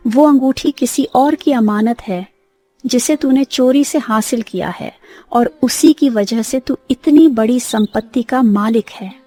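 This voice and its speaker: Woman voicing a stern high-voiced talking myna bird. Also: warm tone. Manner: stern, scolding, emphatic. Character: angry talking myna bird, righteous and accusing. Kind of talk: Hindi cartoon story dialogue.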